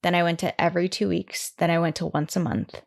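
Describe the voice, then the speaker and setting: A woman in her twenties chatting candidly as a podcast guest, rambling with lots of "like" fillers and animated retellings. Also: on the low side, quick pace, gravelly. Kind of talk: candid conversational chat.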